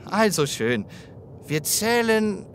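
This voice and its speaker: A woman's voice: medium pitch, sing-song, slow pace, a little hiss or hum. A woman voicing a cheeky, playful talking zebra in a children's radio play, exclamatory and teasing.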